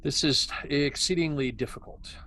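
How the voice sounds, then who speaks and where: A man's voice: on the high side, normal speed, pushed and bright, a little hiss or hum. A man playing a defensive, ambitious cybernetics scientist, by turns hesitant and insistent. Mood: uneasy, hesitant. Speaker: amateur actor in a table read.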